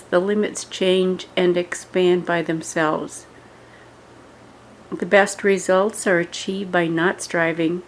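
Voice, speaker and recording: A woman giving scripted guided yoga meditation instructions in a measured way, pausing between short phrases. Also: on the low side, a little hiss or hum, rough recording.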